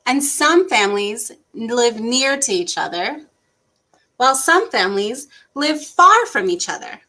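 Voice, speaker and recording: A woman's voice: a little high, sing-song, unhurried, bright, forceful tone. A woman hosting an interactive read-aloud for young children, speaking in an encouraging way with simple phrasing. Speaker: children's librarian and story time host.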